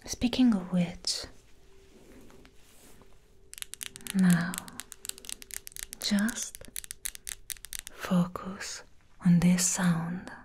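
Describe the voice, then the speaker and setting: A young woman playing a commanding, teasing fairy assassin in a whispered ASMR roleplay, slowly giving instructions in a breathy whisper close to the microphone. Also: lowish voice, a little mellow, a little hiss or hum. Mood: commanding, testing. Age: young adult. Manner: slow, deliberate, instructing.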